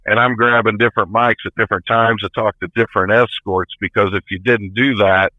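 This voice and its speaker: Man, 50s, folksy trucker storyteller, lowish voice, thin phone-like sound. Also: fairly even intonation, fairly quick, no room sound. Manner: plain-spoken, folksy. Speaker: truck driver, interview guest. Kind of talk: casual storytelling. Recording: tinny phone-like line.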